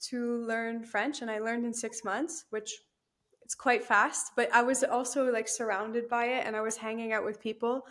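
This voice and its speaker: Chatty young woman, higher voice. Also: fairly even intonation, normal speed, fairly bright tone. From Canada. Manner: chatty, laughs easily, many fillers like "like" and "um". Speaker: guest, new team member. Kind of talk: casual interview chat.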